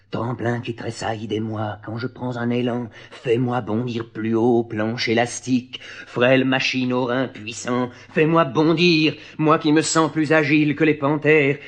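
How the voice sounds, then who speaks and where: A man's voice: medium pitch, voice pushed up, natural voice. A man playing an ecstatic, yearning circus clown in a recited poem, pleading in an exalted, declamatory way. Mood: pleading, urgent. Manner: exalted, pleading, rising to cries.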